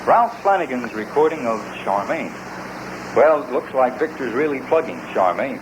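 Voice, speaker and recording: A man's voice: mid-range voice, sing-song, moderate pace. A man announcing in old radio presenter style on a noisy vintage recording.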